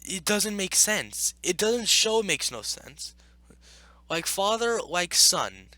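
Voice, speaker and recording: A man with a high, bright voice that swings up and down a lot. He reads and reacts casually, arguing back in an exasperated tone. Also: very animated, slow pace, dry recording. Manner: exasperated, argumentative. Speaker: YouTube commentator. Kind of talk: casual reaction commentary while reading.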